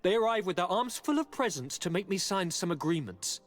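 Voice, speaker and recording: An actor playing a young noble governor in a video game, sickly and restless, with a theatrical, courtly and expressive delivery. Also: male voice, high-pitched, a little fast, faint music behind. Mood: animated, bored, scornful. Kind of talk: video game cutscene dialogue.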